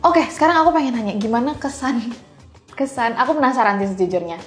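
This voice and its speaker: Chatty young woman, higher-pitched. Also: expressive, fast, soft background music. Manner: informal, peppers talk with English phrases. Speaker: podcast host, interviewer. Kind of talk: casual chatty interview.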